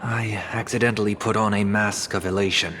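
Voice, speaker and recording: A refined, gentle young man from a video game, played by an actor. His voice is low and polite, a little hesitant. Faint ambience is behind him. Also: level tone, brisk, slight background noise, natural voice. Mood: apologetic. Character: gentle, apologetic, refined young male traveller. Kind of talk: video game character acting.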